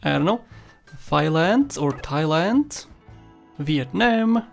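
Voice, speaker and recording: A man's voice: on the high side, lively intonation, slow pace, gravelly. A young man speaking English with a Bulgarian accent, non-native and loose, joking his way through a game walkthrough. His talk rambles and he chuckles at his own gags. Faint game music plays underneath. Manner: jokey, rambling, laughs at his own jokes. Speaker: gameplay commentator. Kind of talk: playful walkthrough commentary.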